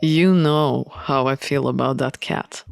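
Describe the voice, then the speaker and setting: A reserved, serious man from a visual novel, put on by a reader. He speaks in a formal, terse way. Music plays softly beneath his words. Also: mid-range voice, steady tone, a little slow, vocal fry, pitched below the performer's own voice. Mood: guarded, formal. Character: Felix, reserved, scowling man in a dream.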